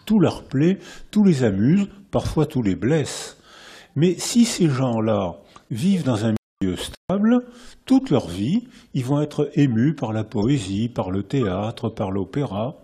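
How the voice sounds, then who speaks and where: Husky French man giving a lively lecture. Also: medium pitch, unhurried. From France. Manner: lively, restarts phrases, builds points by repetition. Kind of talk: public lecture to a live audience. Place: lecture hall with an audience.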